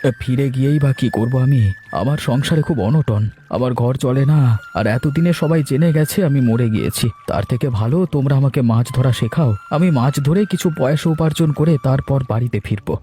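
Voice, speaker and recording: A man voicing an exhausted, poor village man in a cartoon, halting and plaintive. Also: medium pitch, dark tone, a little hiss or hum, lightly acted. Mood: downcast. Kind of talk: cartoon dubbing.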